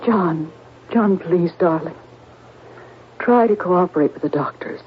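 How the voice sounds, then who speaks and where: An actress playing a worried wife pleading gently with her husband in a radio drama. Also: fairly low pitch, unhurried, natural voice. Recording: muffled old radio broadcast, faint hiss.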